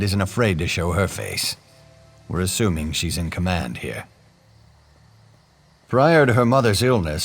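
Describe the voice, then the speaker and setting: An actor playing a hot-tempered male nobleman who gives blunt, commanding orders. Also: low-pitched.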